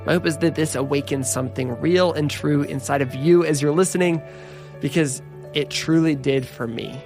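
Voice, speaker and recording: A man's voice: on the high side, fairly even intonation, moderate pace, a little mellow, soft background music. A man hosting a podcast, speaking in an effusive, earnest way as he introduces and interviews his guest. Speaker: podcast host.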